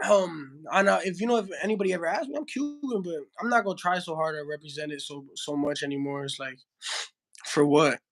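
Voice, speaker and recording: A man's voice: on the high side, brisk, sharp tone, rough recording. A young man from Miami who spoke Spanish first, a heavyweight boxer talking casually in an interview, saying bro a lot and restarting his sentences. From Miami, Florida. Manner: slangy, says bro a lot, frequent restarts.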